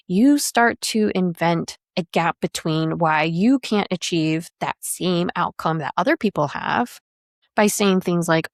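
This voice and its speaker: A woman's voice: lowish voice, no echo. Reflective woman podcast host, dry close sound. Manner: reflective, explanatory, steady. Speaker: podcast host and career coach. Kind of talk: solo podcast monologue.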